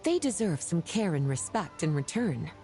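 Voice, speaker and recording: Playful, polished woman for game dialogue; coy, flirtatious, very expressive pitch, music underneath. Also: normal speed. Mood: composed, caring. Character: playful, teasing, well-read woman, leisurely and flirtatious. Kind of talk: scripted video game character dialogue.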